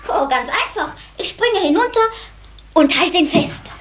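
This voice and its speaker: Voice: very high voice, very animated, measured pace, heavy background noise, exaggerated voice. An actor voicing a curious, naive little water sprite boy in a children's radio play. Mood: confident. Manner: bright-eyed, naive, wondering.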